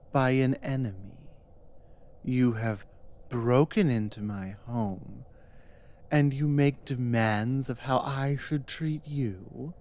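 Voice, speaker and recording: Slow-talking menacing giantess, acted out for roleplay audio. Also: mid-range voice, darkish tone. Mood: stern, mocking. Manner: slow, deliberate, menacing, condescending. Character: powerful giantess captor, stern, taunting, dominant. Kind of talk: ASMR roleplay monologue.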